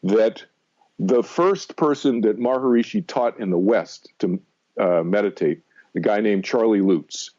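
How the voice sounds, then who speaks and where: A man's voice: on the low side, lively intonation, unhurried, darkish tone, muffled recording. An American man in his sixties telling personal stories as a guest in a conversational radio interview, emphatic and often circling back to repeat his points. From Massachusetts, United States. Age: 60s. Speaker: interview guest, meditation teacher.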